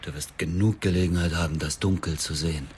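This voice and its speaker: A very deep male voice of an actor carries a self-righteous avenger in a radio play. He is cold and defiant, stating grim things flatly as plain fact. Slight background noise sits under him. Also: fairly quick, lightly acted. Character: bitter, self-righteous widower turned cold-blooded avenging killer. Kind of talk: radio drama acting and first-person narration.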